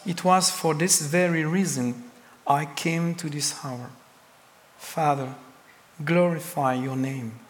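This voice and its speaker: Slow French-accented preacher in a hall. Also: male voice, fairly high pitch, a bit bright. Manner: slow, deliberate preaching with emphatic pauses. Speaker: pastor. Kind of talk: sermon.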